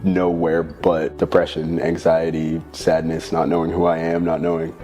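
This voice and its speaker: A man giving a personal faith testimony in a slow, thoughtful way. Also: very deep voice, monotone, dark tone, soft background music. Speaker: church member giving testimony.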